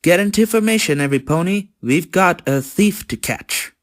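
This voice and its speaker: A man's voice: sits high, lively intonation, moderate pace. A man voicing a confident young male pony sheriff in a fan comic dub, take-charge in manner. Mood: commanding.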